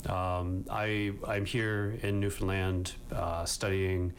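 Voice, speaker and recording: A man's voice: low-pitched, little pitch movement, slow, slight background noise. A man, a linguistics researcher and guest, explaining his work carefully and thinking aloud as he goes. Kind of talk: explanatory interview talk.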